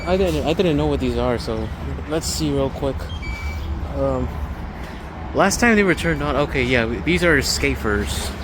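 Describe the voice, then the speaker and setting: A man's voice: medium pitch, noisy background. A man narrating a casual walkthrough of a large store, thinking aloud in a rambling, observational way. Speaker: vlogger. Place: inside a large home improvement store. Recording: music playing in the background. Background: store music from overhead speakers.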